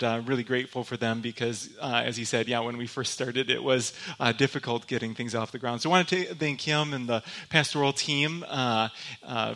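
A young man from Michigan giving a church talk as a guest speaker, telling personal stories in a relaxed, joking way with lots of fillers and restarts. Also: medium pitch. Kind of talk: sermon told as personal stories.

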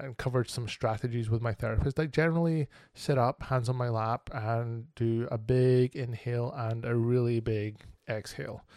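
Earnest male podcast host, gravelly voice. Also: mid-range voice, darkish tone, no room sound, studio quality. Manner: earnest, reflective and candid. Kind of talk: solo podcast monologue.

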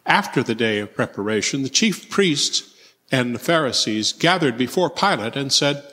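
A man reading scripture solemnly as narrator in a church service, phrase by measured phrase. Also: mid-range voice, lively intonation, bright, forceful tone. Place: large reverberant church. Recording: long hall reverb.

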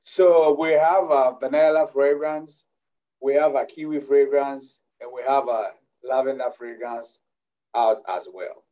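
Ghanaian guy talking about his business, mellow, kinda far off, dull audio. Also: medium pitch, unhurried. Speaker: business founder guest. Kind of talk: interview answers.

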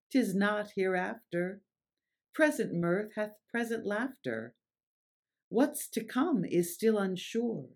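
A presenter giving scripted narration and reciting verse in a measured, deliberate, theatrical way. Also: medium pitch, darkish tone. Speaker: presenter and reader.

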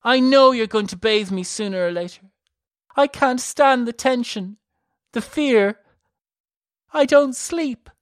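A woman voicing a high, sobbing, frantic young girl. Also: takes it slowly, long pauses, no echo, clean studio sound. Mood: desperate sobbing. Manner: sobbing, panicked pleading. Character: distraught weeping young girl.

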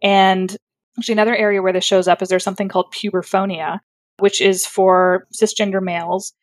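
A woman's voice: medium pitch, level tone, a little fast, no echo. A woman speech therapist explaining her work in a thoughtful, articulate way, with fillers and self-corrections. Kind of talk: conversational explanation.

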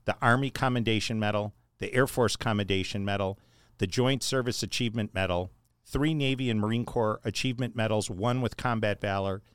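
A man hosting a podcast, reading out a guest's introduction in a measured, list-like way, then chatting. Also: on the low side, clear, resonant tone, dry recording, clean studio sound. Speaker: podcast host.

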